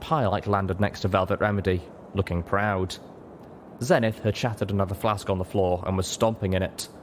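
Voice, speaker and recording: A man's voice: deep voice, fairly even intonation, normal speed, a little hiss or hum. A man reading an audiobook, giving scripted fiction a dramatic narration. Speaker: audiobook reader.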